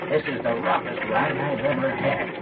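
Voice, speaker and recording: An actor playing a battered, grumbling stowaway talking to himself in an old-time radio drama. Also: male voice, mid-range voice, steady tone, normal speed, lightly acted. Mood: pained, grumbling. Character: battered, grumbling male stowaway hiding in a casket. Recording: tinny, very noisy old radio recording.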